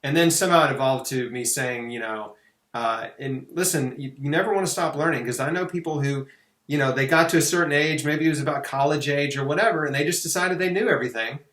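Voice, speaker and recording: A man's voice: a little high, fast, fairly bright tone. A middle-aged man chatting informally and anecdotally as an interview host. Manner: informal, anecdotal, punctuated with "you know". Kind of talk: casual conversational interview. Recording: roomy, echoing sound.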